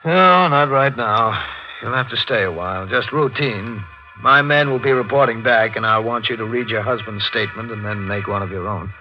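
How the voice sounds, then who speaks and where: An actor playing a probing, no-nonsense district attorney in a 1940s radio drama, with a brisk, pointed manner. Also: male voice, on the low side, dull audio, lightly acted. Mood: businesslike. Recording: band-limited 1940s radio recording with music.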